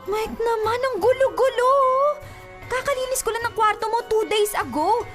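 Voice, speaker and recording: A woman's voice: very high-pitched, moderate pace, faint music behind. A woman playing an exasperated, whiny young girlfriend in a radio drama, scolding with a Filipino accent.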